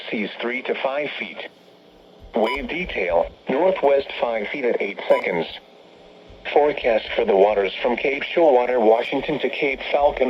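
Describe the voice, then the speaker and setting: Dull, noisy radio robotic male weather announcer. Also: mid-range voice. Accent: American. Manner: robotic, stilted synthetic phrasing. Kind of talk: scripted weather forecast readout. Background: steady radio background noise.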